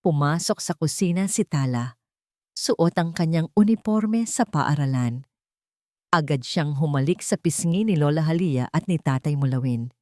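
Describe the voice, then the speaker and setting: Female narrator, low register, dry close sound, steady read for an audio drama story. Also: normal speed, no room sound.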